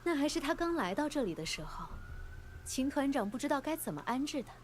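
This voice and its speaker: A woman's voice: sits high, very animated, fast, crowd noise. A woman playing a teasing, flirty librarian and mentor in a playful, coaxing anime game style. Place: studio game dialogue with music behind.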